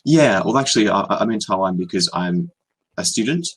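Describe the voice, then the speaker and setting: Young Australian male tutor, very low husky voice, laid-back and halting on a video call. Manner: relaxed, hesitant, many ums and restarts. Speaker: online English tutor. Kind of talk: casual video-call conversation.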